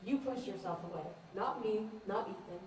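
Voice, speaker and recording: A woman's voice: medium pitch, normal speed, darkish tone, natural voice. A young woman acting a blunt, sardonic character in a student stage play. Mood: confrontational. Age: young adult. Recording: echoey room, far mic, faint noise.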